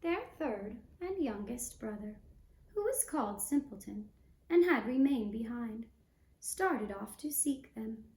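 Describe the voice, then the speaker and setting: Slow, high-voiced woman telling fairy tales. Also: animated, a little mellow. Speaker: storyteller and puppeteer. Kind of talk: slow fairy-tale narration for a puppet show. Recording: roomy, echoing sound.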